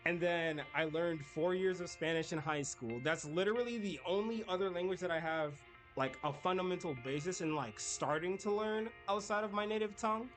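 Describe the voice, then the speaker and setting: A man's voice: high voice, normal speed, soft background music. A man streaming a game, chatting casually with his viewers in a rambling way. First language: English. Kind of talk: casual live-stream chat while gaming.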